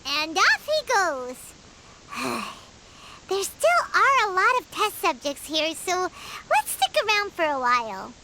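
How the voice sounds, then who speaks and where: A tiny chatty fairy companion in a game, her voice squeaky and extremely high. It leaps wildly up and down in pitch. She chatters like an eager little sidekick. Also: sing-song, slow, very noisy, heavily acted. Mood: easygoing. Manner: squeaky, speaks of herself by name. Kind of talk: game voice acting.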